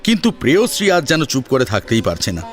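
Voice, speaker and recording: Man narrating a story with lively intonation. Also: medium pitch, fast, faint music behind. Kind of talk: dramatic scripted story narration.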